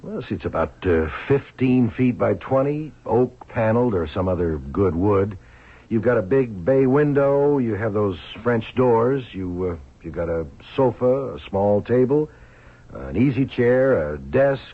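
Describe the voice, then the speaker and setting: An actor playing a reluctant, puzzled police detective in a radio drama, loyal but doubtful, with a husky, halting delivery. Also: male voice, lowish voice, lively intonation. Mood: baffled. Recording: thin, phone-like old radio recording.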